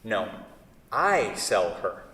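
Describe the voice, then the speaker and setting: A man acting a flustered, awkward seller in a staged play reading, halting and stammering through his sentences. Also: on the low side, very animated, bright, forceful tone, full character voice. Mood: exasperated. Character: flustered, awkward man selling a beloved table. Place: theatre stage. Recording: echoey theatre hall, distant mic.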